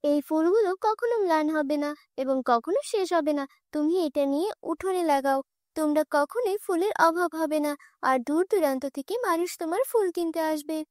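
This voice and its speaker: Woman as a very high-voiced righteous fairy. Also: normal speed, darkish tone, dry recording, studio quality, close to an everyday voice. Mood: kindly. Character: righteous female fairy, kindly to good, stern with wrongdoers. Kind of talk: cartoon fairy tale voice acting.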